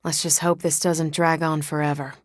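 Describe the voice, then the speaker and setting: A woman's voice: deep voice, level tone, normal speed, dry recording, clean studio sound, close to an everyday voice. A woman voicing a confident, wisecracking superhero soldier in a video game, with a brash but friendly, wry and casual manner.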